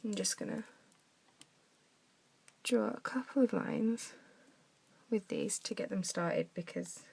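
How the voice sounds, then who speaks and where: Croaky woman showing a craft. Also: medium pitch, takes it slowly. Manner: chatty, talks while drawing, drops to soft mutters. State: croaky from a cough. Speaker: craft tutorial maker. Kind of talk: casual craft demonstration. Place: home desk, indoors.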